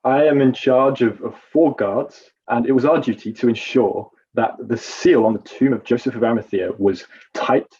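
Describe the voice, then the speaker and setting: A man's voice: medium pitch, normal speed, few pauses, warm tone, slightly rough, dull audio, lightly acted. A man playing a nervous, evasive Roman guard corporal testifying in a courtroom drama, hesitant and deferential. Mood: formal, dutiful.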